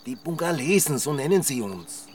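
An actor playing a cagey, gossipy village chronicler in a radio drama, with an Austrian accent and a cautious, confiding manner. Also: male voice, fairly high pitch, very animated, normal speed, recorded from a distance, slight background noise.

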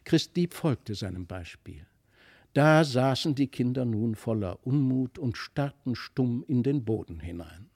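A male narrator reads a classic tale with a mellow, dark-edged tone. His delivery is careful and expressive, and the recording is close and dry. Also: medium pitch, a little slow, vocal fry, slightly rough, no room sound. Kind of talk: expressive literary audiobook storytelling.

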